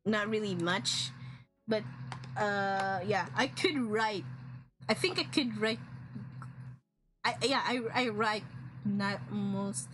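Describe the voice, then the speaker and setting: A woman's voice: mid-range voice, takes it slowly, pauses often, a bit bright, low-quality recording. A young woman with a Filipino accent, whose first language is a regional Philippine one, chatting casually on a drawing stream with many fillers and restarts. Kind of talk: casual chat while drawing.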